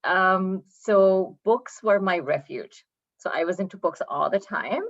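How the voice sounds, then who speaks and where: A woman's voice: medium pitch, measured pace, muffled recording. A woman in her thirties from Pakistan, chatting as a guest in an interview with lots of ums and small laughs. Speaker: poet and guest. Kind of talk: casual interview talk.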